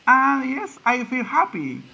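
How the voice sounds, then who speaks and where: A man's voice: very high voice, huge pitch swings, slow pace, sharp tone, lo-fi sound. A man, teaching English on a video call and repeating his phrases as he coaxes. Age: 20s. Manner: repeats his own phrases, coaxing and encouraging. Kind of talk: online English conversation class. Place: small room indoors. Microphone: laptop webcam on video call.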